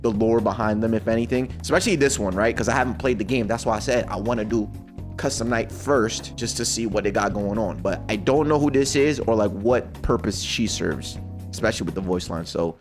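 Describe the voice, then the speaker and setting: A man giving casual, slangy reaction commentary as a streamer, conversational and quick to exclaim. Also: lowish voice, over music. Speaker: streamer reacting to game voice lines.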